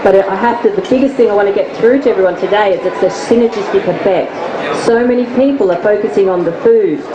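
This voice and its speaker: A woman's voice: medium pitch, fast. A woman with an Australian accent, a fitness coach speaking earnestly as a guest in an onstage interview. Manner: earnest, upbeat, motivational. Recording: echoey hall, crowd and noise behind, dull sound.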